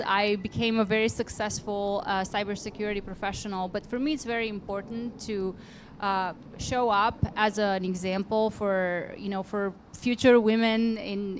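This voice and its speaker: An earnest woman introduces herself on a conference stage, speaking into a handheld mic in a faintly noisy hall. Her voice sits at a middle pitch, and she pauses and restarts her phrases as she goes. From Bulgaria. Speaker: conference panelist. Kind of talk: panel self-introduction. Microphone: handheld microphone.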